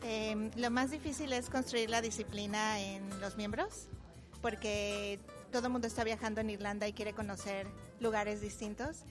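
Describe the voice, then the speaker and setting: A woman from Mexico City with a Mexico City accent, a dance group member explaining things in a friendly way with many fillers. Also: mid-range voice. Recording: interview with loud music behind. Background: music playing.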